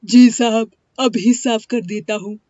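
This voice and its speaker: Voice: mid-range voice, steady tone, takes it slowly, dark tone, no room sound, lightly acted. A performer voicing a poor, humble cobbler boy in a story, polite and plaintive. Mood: eager, polite. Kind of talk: animated moral-story dialogue. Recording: dry, dull lo-fi studio sound.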